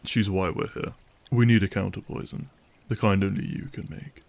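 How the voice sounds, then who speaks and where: A man as dry vampire hunter, reluctant ally, gravelly, fairly low, terse, fantasy audio drama. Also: moderate pace. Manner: deadpan, terse.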